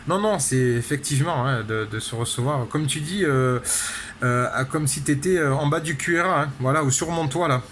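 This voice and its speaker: A man's voice: a little high, moderate pace, fairly bright tone. Chatty man over noticeable noise. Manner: chatty, frequent tag words. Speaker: amateur radio operator. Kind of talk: casual radio contact chat. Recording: clear background noise.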